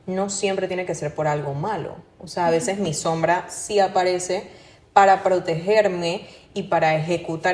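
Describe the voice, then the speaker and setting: A woman co-hosting a podcast, reflecting casually in a relaxed manner and slipping English words into her talk. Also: medium pitch. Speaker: podcast co-host. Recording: echoey room.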